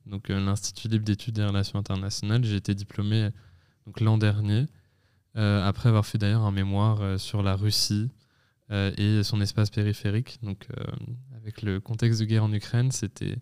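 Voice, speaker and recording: A young man in his twenties, a student guest on a podcast, explaining things in a measured way with many pauses and self-corrections. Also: fairly low pitch, warm tone, clean tone. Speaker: guest, student association president. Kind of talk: casual podcast talk.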